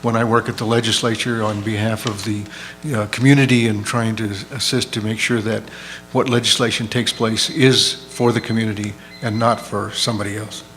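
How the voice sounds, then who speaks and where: A man school board candidate answering at a public forum, halting and restarting his phrases. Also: on the low side, level tone, a bit bright, faint music behind.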